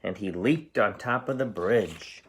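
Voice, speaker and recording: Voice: deep voice, measured pace, slight background noise. A storyteller reading a picture book aloud expressively for young children.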